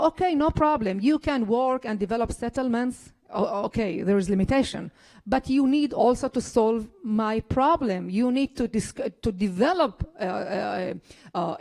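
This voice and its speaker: A woman from Israel, a politician speaking on a discussion panel. She talks with earnest passion, often restarting her sentences, in a voice pitched a touch above the usual. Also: animated, normal speed, dark tone. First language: Arabic. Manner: impassioned, earnest, frequent restarts.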